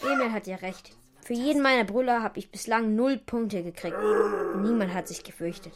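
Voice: mid-range voice, normal speed, lightly acted. A young voice playing an earnest, timid little child trying to be brave in a children's radio play. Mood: discouraged.

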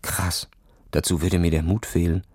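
A man's voice: sits low, little pitch movement, moderate pace, darkish tone, breathy edge, no echo, clean studio sound. A man voicing an anxious, timid boy who tries to seem cool, in a children's audio story with a hesitant manner. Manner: hesitant, uneasy, short replies.

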